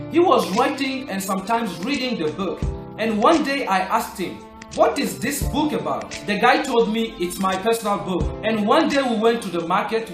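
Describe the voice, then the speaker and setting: High-voiced French-native man coaching learners. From Republic of the Congo. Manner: earnest, coaching, stresses key points. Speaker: language-learning vlogger. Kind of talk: motivational talk to camera. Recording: roomy echo, soft music behind.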